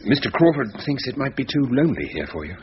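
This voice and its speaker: An actor playing a subdued, protective British husband and grieving father in a radio drama, terse and measured. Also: medium pitch, big pitch swings, lightly acted. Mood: polite. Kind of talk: radio thriller acting. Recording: muffled recording, faint noise.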